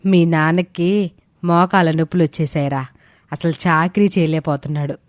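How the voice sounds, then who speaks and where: Lady doing doting village mom, lowish, homely, audio story, dry sound. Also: fairly even intonation, moderate pace, no echo, close to an everyday voice. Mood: plainspoken. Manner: homely, affectionate. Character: Suguna, doting middle-aged village mother. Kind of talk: scripted audio story dialogue.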